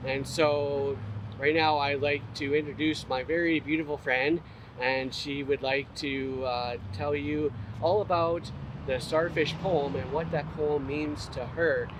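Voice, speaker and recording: A man with a somewhat high voice talks earnestly to the camera, stumbling through fillers as he makes his point. He is recorded outdoors, with steady traffic and street noise behind him. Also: a little slow. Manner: plain, earnest, many fillers and restarts. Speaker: motivational speaker, host. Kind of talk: casual heartfelt talk to camera.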